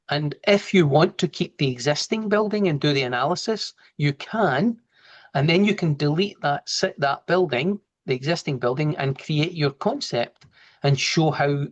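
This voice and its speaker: A man with a broad Glasgow accent, a trainer giving a relaxed, informal live online lesson over a video call and talking through each step as he works. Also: on the high side. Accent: Glasgow Scottish. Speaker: software trainer. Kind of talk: live online training, explaining and answering questions.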